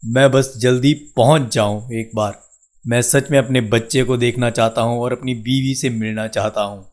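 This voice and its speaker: A man acts out a poor village labourer in a cartoon story. He is an earnest, plain-spoken husband worn by work. His pitch is ordinary and the delivery stays close to everyday speech. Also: steady tone, normal speed, natural voice. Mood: longing, hopeful. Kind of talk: Hindi cartoon story dialogue.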